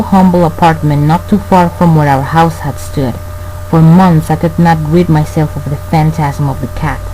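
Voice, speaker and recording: An actor playing a tormented man narrating a gothic horror tale, formal, grave and measured in a radio play. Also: on the high side, warm tone, close to an everyday voice, rough recording. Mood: brooding. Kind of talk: scripted dramatic narration. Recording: faint background noise and hum.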